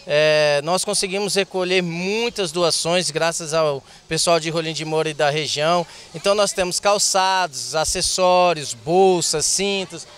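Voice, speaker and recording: Upbeat smooth-voiced Brazilian man. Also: sits high, fairly bright tone. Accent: Brazilian Portuguese. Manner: upbeat, promotional. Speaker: bazaar volunteer. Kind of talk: promotional interview. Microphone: handheld reporter mic.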